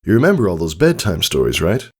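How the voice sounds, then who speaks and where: Guy doing a proud pony dad for a story, easygoing n encouraging, animated, raised voice. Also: low-pitched, quick pace, husky, voice pushed up, exaggerated voice. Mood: proud, cheerful. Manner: casual, teasing, upbeat.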